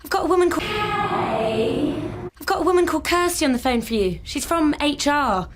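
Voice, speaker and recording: A woman playing a flustered young daughter in a radio drama, placating everyone with halting, nervously polite excuses. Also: high voice, big pitch swings. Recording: noisy track with clicks.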